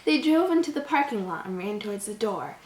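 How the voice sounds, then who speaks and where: Woman reading as a hushed, frightened young boy, echoey. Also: mid-range voice, big pitch swings, fairly quick, recorded from a distance, close to an everyday voice. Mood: hushed dread. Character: frightened young boy recounting a killer's attack. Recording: echoey room.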